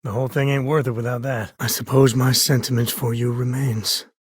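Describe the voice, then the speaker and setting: An actor playing a wry, easygoing space cowboy explorer in a video game, a man with a husky, raspy voice and folksy, laid-back phrasing. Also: mid-range voice, little pitch movement, a little fast, no room sound, studio quality, lightly acted. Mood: reflective. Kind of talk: video game character voice lines.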